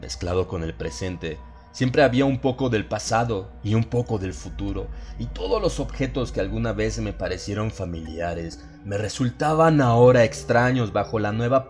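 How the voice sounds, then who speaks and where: Male horror audiobook narrator, lowish register, grave and brooding, music bed underneath. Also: lively intonation, normal speed, few pauses, darkish tone. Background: music behind the voice.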